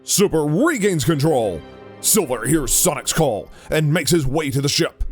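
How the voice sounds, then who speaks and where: A man's voice: a little high, expressive, a little slow, faint music behind. A man reading scripted narration for an audio drama.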